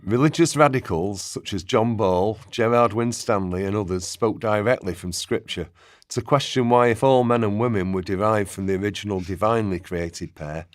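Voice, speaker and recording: A man's voice: fairly low pitch, no room sound. A man narrating a historical radio play in a scripted documentary style.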